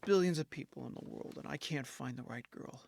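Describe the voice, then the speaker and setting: A man's voice: mid-range voice, fairly quick, vocal fry, a little mellow. An actor playing an ordinary, lonely young man in an audio drama, earnest and a little hesitant. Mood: gloomy, sardonic. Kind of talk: audio drama dialogue and first-person narration.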